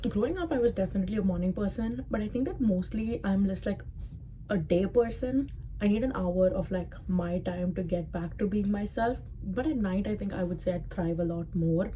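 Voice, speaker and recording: Very mellow young Indian-accented woman. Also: medium pitch, moderate pace, slight background noise, poor audio. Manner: chatty, full of "like" fillers. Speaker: vlogger. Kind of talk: casual chat to camera.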